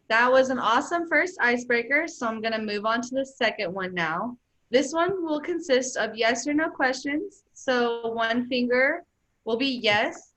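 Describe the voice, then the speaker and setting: A young woman moderating a focus group over a video call, laying out rules and asking questions in an organized way. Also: on the high side. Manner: organized, reads rules aloud in a steady tone.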